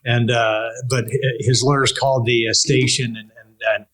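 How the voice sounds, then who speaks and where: An older man with a Canadian accent, hosting an interview casually in a halting, rambling way. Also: medium pitch, flat delivery, a bit bright, poor audio. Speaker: interview host, veteran broadcaster.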